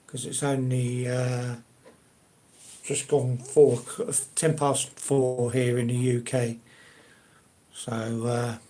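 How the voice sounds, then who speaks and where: An older man with a Cockney-ish South London accent chats with his viewers on a live stream. He talks casually at a mid pitch, with a hesitant, rambling manner, many fillers and restarted sentences. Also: level tone, slow pace, mellow.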